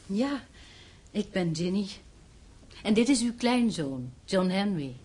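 An actress in a radio drama playing a gentle, proud young widowed mother with a polite, reserved manner. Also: medium pitch, animated, slow pace, breathy edge. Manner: soft-spoken with her child, politely reserved.

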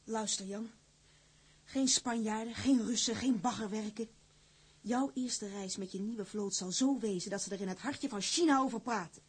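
An actress playing a strong-willed, shrewd woman in a radio drama, urgent and persuasive, with a breathy, husky voice. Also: mid-range voice, moderate pace. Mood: firm.

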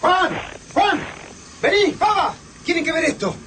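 An excitable young survivor as an actor voices the part in a radio play. The voice is high and swoops wildly, with an Argentine accent. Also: unhurried, full character voice. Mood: excited, alarmed. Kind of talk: radio drama acting. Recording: clear background noise.

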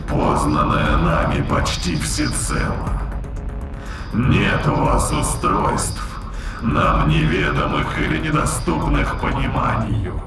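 An actor voicing a haughty otherworldly entity in an ape's body, with a gravelly whispery growl and slow, archaic, pompous phrasing in an audio drama, his voice processed with reverb. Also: lowish voice, exaggerated voice. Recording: echoey distant voice under loud music.

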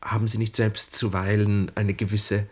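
An actor playing an earnest, wistful lieutenant of twenty-three in a 1960s German radio play, speaking formally and gently probing. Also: male voice, fairly low pitch, flat delivery, moderate pace, natural voice. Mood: earnest, gentle. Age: young adult.

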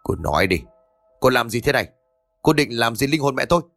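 Người đàn ông đóng anh chồng trẻ giận dữ. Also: male voice, mid-range voice, very animated, a little fast, creaky, fairly bright tone, no room sound, faint music behind. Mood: angry. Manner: stern, accusing. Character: angry young husband, accusing his wife.

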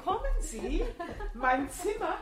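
A woman's voice: sits high, very animated, takes it slowly, crowd noise, heavily acted. An actress playing a sugary, scheming lady of the house in a German radio drama, genteel and gushing.

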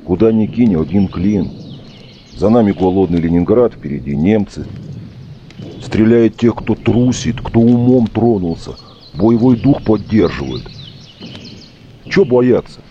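A middle-aged frontline soldier in a war drama. The voice is very low and mellow, gruffly fatherly and fatalistic. The sound is slightly dull, with faint noise behind it. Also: expressive, measured pace, long pauses. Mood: grim, steadying. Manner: folksy, plain-spoken, steadying. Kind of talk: audio drama acting.

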